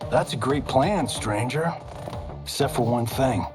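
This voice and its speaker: A man's voice: mid-range voice, a little slow, close to an everyday voice. An actor playing a brash, wisecracking male movie star in a video game cutscene. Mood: cocky. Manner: cocky, wisecracking, animated. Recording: game cutscene with music behind.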